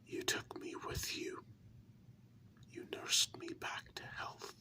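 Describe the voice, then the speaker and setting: A performer whispering an ASMR role-play as a tender, candid human lover of a vampire, intimate and halting, in a reassuring way. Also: lightly acted. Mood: tender, reminiscing.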